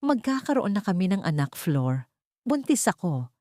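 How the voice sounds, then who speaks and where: Woman playing a smug, animated scheming mistress. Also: medium pitch, moderate pace, dry recording, studio quality, lightly acted. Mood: smugly triumphant. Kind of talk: audio drama character dialogue.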